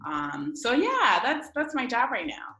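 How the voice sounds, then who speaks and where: Young woman from Ghana, chatty guest on a video call, bright and echoey. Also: high voice, huge pitch swings, a little slow. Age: 20s. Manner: chatty, lots of fillers, slangy. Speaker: guest, publicist. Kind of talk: casual interview over video call.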